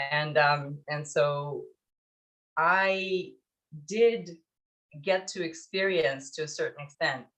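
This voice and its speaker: A woman in her forties from Ukraine, telling stories in a reflective, halting way as an interview guest. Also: low-pitched, a bit bright, rough recording. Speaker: podcast guest, startup founder. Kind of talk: conversational interview storytelling.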